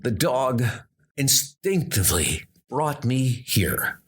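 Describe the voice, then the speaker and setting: A man's voice: mid-range voice, big pitch swings, slow. A man playing a wounded knight who gasps out an alarmed survivor's account in a breathless comic-book audio drama style. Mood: tense, recounting. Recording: music under the voice.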